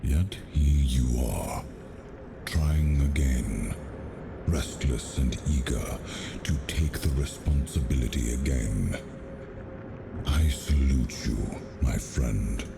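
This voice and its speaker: An immensely deep, ancient lord of the seas for a fantasy video game. He is grave and wise, drawing out portentous words in a gravelly creak, over loud music. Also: slow, full character voice. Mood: wise, respectful. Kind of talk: dramatic video game acting.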